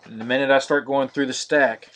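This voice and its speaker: Male card-break host, mid pitch, flat steady delivery, live-stream feel. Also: brisk. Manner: monotonous, steady listing of cards.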